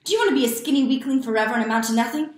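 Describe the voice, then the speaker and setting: A woman's voice: on the low side, fairly even intonation, a little slow, lightly acted. Lady doing a harsh bearded dad barking at his kid, storybook voice, echoey room. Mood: stern scolding. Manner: loud, scolding, barking. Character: stern bearded father, harsh and scolding. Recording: roomy echo.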